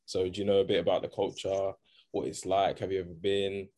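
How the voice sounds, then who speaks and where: Young man with a low voice. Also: flat delivery, brisk, warm tone. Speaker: interviewer. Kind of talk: casual interview chat.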